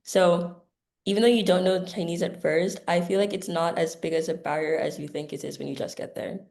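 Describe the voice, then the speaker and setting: A woman's voice: on the low side, steady tone, brisk, gravelly, darkish tone. A young woman student on a panel, talking easily with many "like"s and "kinda"s. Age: young adult. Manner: easygoing, lots of "like" and "kinda". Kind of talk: casual panel answer.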